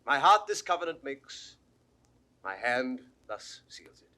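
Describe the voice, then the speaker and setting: A resolute, gracious British nobleman in classical verse drama. His bright, forceful voice stays in a middle register, with measured, oratorical phrasing. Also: mid-range voice, sing-song, full character voice. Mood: gracious, earnest. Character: proud, gracious banished English lord, resolute and courteous. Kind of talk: classical Shakespearean stage acting.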